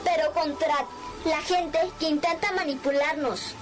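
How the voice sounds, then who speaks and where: A woman's voice: high voice, steady tone, a little slow, over music. A young woman speaking defiantly in a played clip, reciting a challenge. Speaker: young protester.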